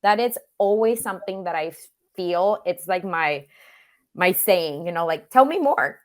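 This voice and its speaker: A woman's voice: lowish voice, animated, a little slow. A woman from Mexico whose first language is Spanish, speaking English with a Mexican accent as an interview guest, earnest and reflective with frequent "you know" fillers. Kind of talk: virtual Q&A interview.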